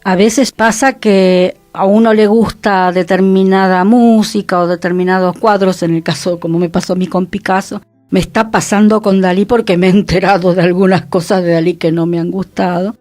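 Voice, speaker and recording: A woman's voice: mid-range voice, fairly even intonation, moderate pace, hardly any pauses, darkish tone, no echo. Woman, Argentine accent, reflective conversational style, close dry sound, music behind. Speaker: radio show panelist. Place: radio studio.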